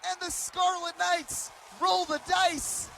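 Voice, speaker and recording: A man in his twenties doing live radio play-by-play of basketball, with excitable, dramatic calls that rise into shouting. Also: very high voice, takes it slowly, creaky, background noise. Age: 20s. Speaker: play-by-play commentator. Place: basketball arena.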